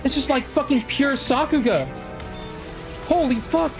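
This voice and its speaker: A gaming content creator giving casual live reaction commentary, excitable and exclamatory, swearing freely. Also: high voice, a little slow. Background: trailer music behind the voice.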